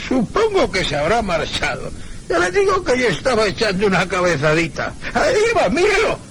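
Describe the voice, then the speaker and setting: An actor from a radio drama playing a drowsy, apologetic village taxi driver, with a creaky voice, hesitant colloquial speech and a Spain accent. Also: male voice, high voice, wildly swooping pitch, moderate pace, noisy background. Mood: helpful, resigned. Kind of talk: Spanish radio drama acting.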